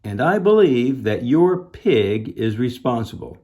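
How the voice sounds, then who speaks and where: A man's voice: mid-range voice, sing-song, unhurried, mellow, voice pushed up. A man voicing an indignant, grumbling woman neighbor from a children's storybook, speaking in an emphatic, exasperated way. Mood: accusing.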